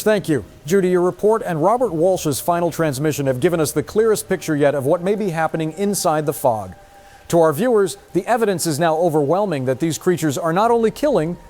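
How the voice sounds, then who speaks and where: Man as solemn news anchor, slightly high voice. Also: darkish tone, natural voice. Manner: formal, solemn. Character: grave male TV news anchor in a disaster. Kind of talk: scripted newscast drama.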